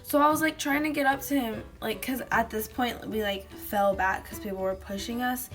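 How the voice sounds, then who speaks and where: A teenage girl vlogging casually to a webcam in her bedroom, telling stories with lots of fillers and giggles, her voice slightly hoarse from having lost it. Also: a little high, moderate pace, a bit bright, soft background music. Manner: chatty, giggly storytelling with many fillers. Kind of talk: casual chat to camera. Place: small bedroom indoors.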